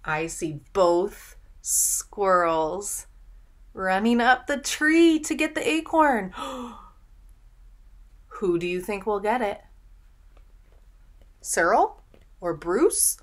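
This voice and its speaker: Young woman reading slowly to children. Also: medium pitch. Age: young adult. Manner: animated storytelling, deliberate enunciation, audible gasps. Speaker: speech and language teacher reading aloud. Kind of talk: expressive children's picture-book read-aloud with questions. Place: small furnished room at home, indoors.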